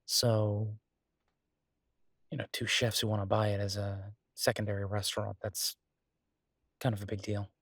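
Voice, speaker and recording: A man playing an easygoing, earnest chef boyfriend in an intimate roleplay audio drama, talking casually and haltingly with a husky, reassuring voice. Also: on the low side, level tone, fairly bright tone, dry recording, studio quality. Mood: earnest, persuasive.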